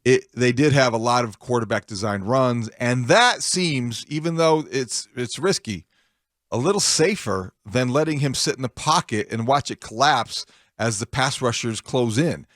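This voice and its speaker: A man's voice: medium pitch, expressive, normal speed, fairly bright tone, dry recording, clean studio sound. A man hosting sports talk radio, putting long, argumentative questions in a conversational way.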